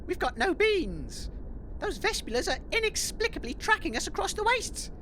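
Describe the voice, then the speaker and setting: Whiny gormless dj guy, really high swoopy voice, audio comedy. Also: big pitch swings, moderate pace, a bit bright, slight background noise, heavily acted, poor audio. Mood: mournful. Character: dim, whiny, hapless male radio DJ on the run. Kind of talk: comedy audio drama acting.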